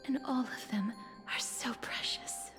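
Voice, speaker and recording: A woman playing a gentle, wistful young noblewoman in a video game, speaking softly and formally with a breathy tenderness. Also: a little high, unhurried, in a whisper, close to an everyday voice. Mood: wistful, tender. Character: gentle, wistful, soft-spoken young noblewoman, quietly earnest. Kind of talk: video game voice acting, reflective monologue. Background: game music.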